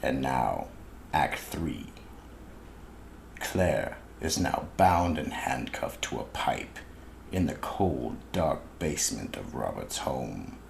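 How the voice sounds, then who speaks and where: Very deep, gravelly male narrator. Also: big pitch swings, slow, a little hiss or hum. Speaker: narrator and announcer. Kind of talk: ominous scripted radio drama narration.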